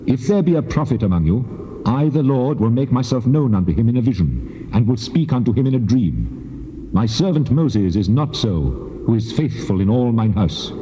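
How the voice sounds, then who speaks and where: Casting: male actor for God in scripture drama. Stern, majestic, mellow tone with wide melodic swings, weighty declamation. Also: mid-range voice, expressive, moderate pace, close to an everyday voice. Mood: solemn rebuke. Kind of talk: dramatized scripture audio acting. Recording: cavernous echoing hall, long reverb.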